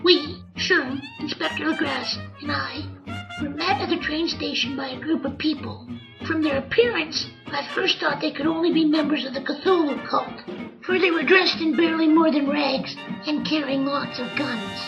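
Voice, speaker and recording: A woman's voice: sits high, moderate pace, lo-fi sound, over music. A woman voicing a small, wiry talking monkey who is cheeky, sarcastic and whiny, in an old-time radio comedy drama. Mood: grumbling narration.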